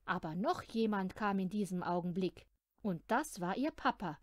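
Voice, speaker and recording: A woman's voice: mid-range voice, expressive, normal speed, dry recording, studio quality. A woman narrating a children's story from a script, expressively and as if speaking to a young listener. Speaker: audiobook reader.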